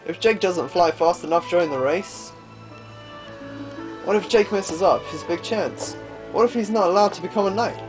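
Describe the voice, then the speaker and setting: A man's voice: high voice, moderate pace, close to an everyday voice, low-quality recording. A man voicing a worried, bossy but caring teenage girl from a video game, earnest and fretful. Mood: worried, indignant. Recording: game music behind.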